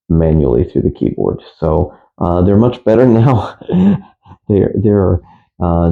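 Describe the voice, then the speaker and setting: Deep-voiced man, halting and matter-of-fact. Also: phone-quality sound. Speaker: amateur radio hobbyist presenter. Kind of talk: casual gear review.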